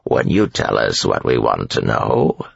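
A cruel priest who gloats over his captives, as a male narrator puts him on. He speaks slowly and in a low, hushed murmur, with a deep, gravelly rasp and a husky edge that make every threat sound drawn out and menacing. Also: lively intonation, no room sound, highs cut off, full character voice.

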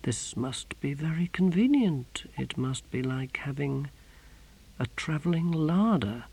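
A man voices a high-ranking holy inquisitor in a fantasy audiobook. He speaks very softly and deliberately, with cold piety. His courtesy carries quiet menace. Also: lively intonation, vocal fry, breathy edge, heavily acted. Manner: hushed, measured, menacingly courteous. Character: cold, sinister pious male inquisitor of high rank.

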